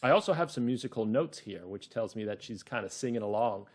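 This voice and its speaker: Animated male children's reading teacher. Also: fairly low pitch. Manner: encouraging, explanatory, with drawn-out emphasis. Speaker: teacher and host. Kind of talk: children's reading lesson with story narration.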